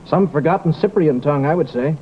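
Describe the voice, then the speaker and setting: An actor playing a self-important, testy scientist in an old radio drama, speaking in a pompous, clipped, theatrical way. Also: male voice, on the high side, brisk, lightly acted. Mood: earnest. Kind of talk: old-time radio comedy-drama acting. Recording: muffled, noisy old radio recording.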